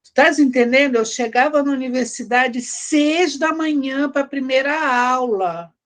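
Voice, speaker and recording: Higher-voiced middle-aged woman from Brazil. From Brazil, born in Rio, grew up in Belém, Pará. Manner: halting, repeats words, frequent tag questions. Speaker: guest academic, history and anthropology researcher. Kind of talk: conversational personal reminiscence.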